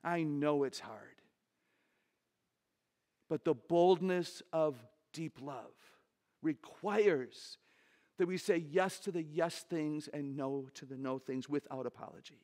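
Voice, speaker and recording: Woman in her sixties, low voice, animated humorous speaker, large stage, headset mic. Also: slow. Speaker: conference speaker.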